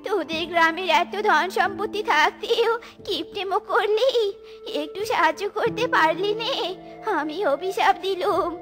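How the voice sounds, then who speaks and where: A woman's voice: very high-pitched, measured pace. A woman voicing a helpless old village woman animal in a cartoon, speaking in a plaintive, imploring, rustic way. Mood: bitter, cursing. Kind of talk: cartoon voice acting. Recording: music behind the voice.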